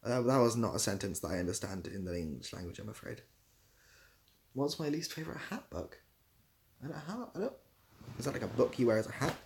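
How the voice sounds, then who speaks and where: A man's voice: lowish voice, animated, a little fast, gravelly, long pauses, darkish tone. A young man hosting a casual livestream, reading out comments and giving dry, self-deprecating, rambling answers. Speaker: livestream host.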